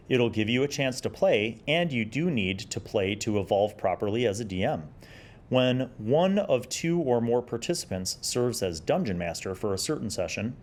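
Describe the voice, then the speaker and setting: A man in his forties hosting a video review, talking in a conversational, explanatory way with emphasis and sometimes reading passages aloud. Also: medium pitch. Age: 40s. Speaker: video host reviewing old gaming magazines. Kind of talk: casual commentary with read-aloud passages.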